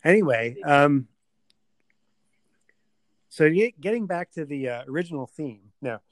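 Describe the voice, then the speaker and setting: A man's voice: fairly high pitch, lively intonation, measured pace, dry recording. A man hosting a casual podcast chat with a friend, tired after a long day and rambling with many fillers.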